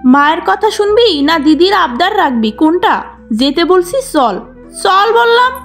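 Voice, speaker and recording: High-voiced scheming elder sister. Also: female voice, big pitch swings, unhurried, soft background music, exaggerated voice. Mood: bossy coaxing. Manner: bossy, spiteful. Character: jealous, scheming young elder sister, village woman. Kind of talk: cartoon fairy-tale acting.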